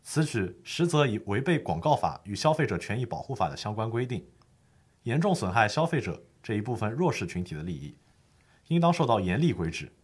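A man's voice: on the low side, big pitch swings, brisk, breathy edge. A man playing a patient lawyer who explains the law formally and methodically in a scripted educational audio drama. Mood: approving, earnest.

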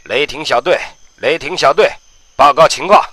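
A man playing a stern, middle-aged army general in a radio drama, barking clipped, forceful orders. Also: on the high side, normal speed, no room sound. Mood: stern, urgent. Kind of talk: Chinese radio drama acting.

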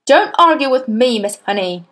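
A woman voicing a sarcastic, bullying headmistress who barks and sneers loudly. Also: a little high, very animated, normal speed. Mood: scornful. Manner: barking, sneering, loud.

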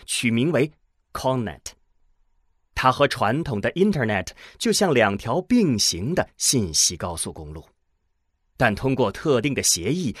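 A man narrating a scripted science fiction audio drama in a dramatic storytelling manner, landing phrase endings deliberately. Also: mid-range voice, breathy edge, dry recording, studio quality. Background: music under the voice.

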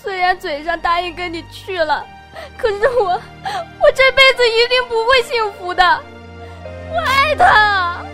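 An actress playing a wounded, resigned young daughter torn by love in an audio drama, plaintive and emotional. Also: female voice, extremely high pitch, very animated, measured pace, music in the background, full character voice. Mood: tearful, pleading. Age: young adult. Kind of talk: Mandarin audio drama acting.

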